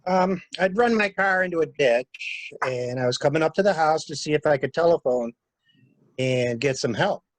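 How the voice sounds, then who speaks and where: A man's voice: a little high, moderate pace, dry recording, lightly acted, low-quality recording. A man playing a polite, evasive traveler with clipped, hesitant replies in a murder mystery reading. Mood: matter-of-fact. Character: polite, halting stranded male traveler, evasive witness.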